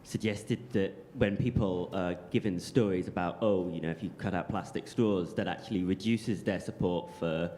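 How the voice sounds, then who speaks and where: A man's voice: sits low, normal speed, hardly any pauses, warm tone, slight background noise. A man asking a thoughtful, skeptical question from the audience.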